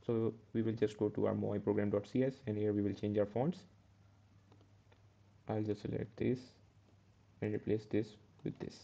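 A man's voice: lowish voice, level tone, normal speed, vocal fry, long pauses, dark tone, lo-fi sound. A man teaching a step-by-step coding tutorial over a screen recording, correcting himself as he goes. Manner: step-by-step, self-correcting with "uh" and "okay". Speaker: programming tutor.

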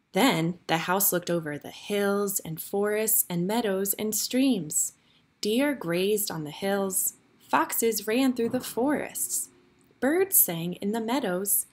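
A woman reading a picture book aloud for young children, with expressive, deliberate storytelling phrasing. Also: medium pitch, breathy edge.

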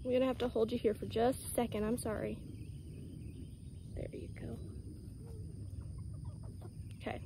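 A young woman with a Southern US accent, talking casually through a how-to farm vlog, full of "um"s and soft, soothing words to her animals. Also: a little high, flat delivery, normal speed, creaky, pauses often, background noise. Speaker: vlogger caring for farm animals. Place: outdoors on a farm by animal pens. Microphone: phone camera held close, selfie framing.

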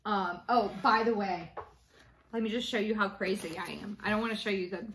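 Late-30s woman, chatty at-home vlogger, bright and forward voice, lots of ums and restarts. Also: medium pitch, steady tone, a little fast, slight background noise, low-quality recording. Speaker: cross-stitch vlogger. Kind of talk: casual chat to camera. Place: small room indoors at home.

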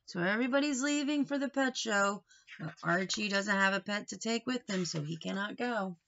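A middle-aged woman reading a children's picture book aloud expressively, pausing for questioning asides to young listeners. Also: medium pitch, a bit bright, dull audio. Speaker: storybook reader. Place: small wood-panelled room indoors.

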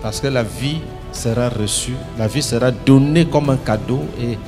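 A man's voice: medium pitch, moderate pace. A middle-aged man preaching on stage into a handheld microphone, in short phrases left for an interpreter. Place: large convention hall, on stage. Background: music.

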